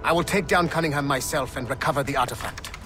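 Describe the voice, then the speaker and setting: An actor playing a resolute strike-team leader who gives firm, terse orders. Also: male voice, fairly high pitch, fast talker, fairly bright tone, a little hiss or hum, lightly acted. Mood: determined. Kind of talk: scripted game dialogue.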